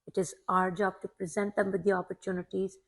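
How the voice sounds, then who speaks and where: A woman's voice: medium pitch, flat delivery, fairly quick, a bit bright. A woman in her forties from Pakistan, a longtime teacher, talking earnestly and reflectively as a guest in an interview, often repeating a word as she starts. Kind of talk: casual interview talk.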